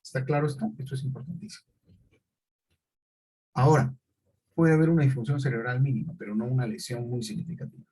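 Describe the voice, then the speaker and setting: A man's voice: mid-range voice, steady tone, fast, long pauses, mellow, husky, rough recording. A middle-aged male teacher, lecturing a class and often checking in with questions.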